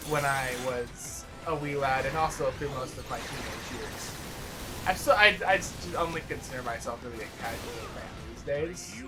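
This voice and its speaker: A young man with a fairly ordinary mid-range voice chats casually as a let's play co-host. He sounds like an enthusiastic fan talking to a friend. Game music plays clearly behind him. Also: medium pitch, poor audio. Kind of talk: casual chat over gameplay. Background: video game cutscene music.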